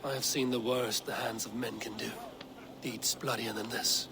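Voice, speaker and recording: An actor playing a level-headed, battle-worn Viking warrior in a video game, measured and sober. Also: male voice, medium pitch, flat delivery, gravelly, noisy background, close to an everyday voice. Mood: sober, skeptical. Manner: measured, sober, archaic phrasing. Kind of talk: dramatic video game acting.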